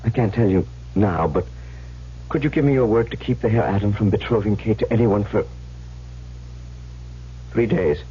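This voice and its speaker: An actor playing an earnest, troubled young suitor in an old radio drama, measured and dramatic in speech and narration. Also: male voice, fairly low pitch, dark tone, slight background noise, close to an everyday voice. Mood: earnest resolve. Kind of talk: old-time radio drama acting and first-person narration. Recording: muffled vintage radio recording with music.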